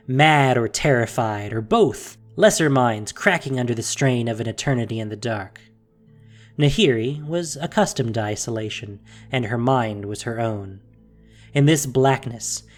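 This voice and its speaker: A narrator reading a scripted fantasy story in a measured, dramatic way. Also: mid-range voice.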